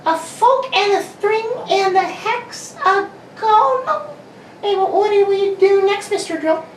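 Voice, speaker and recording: A man plays an excitable little girl in a radio play, very high in pitch and emphatic. She is eager and bossy, speaking slowly, heard from off the mic in a roomy space. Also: exaggerated voice. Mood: eager, impatient. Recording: distant roomy mic with faint noise.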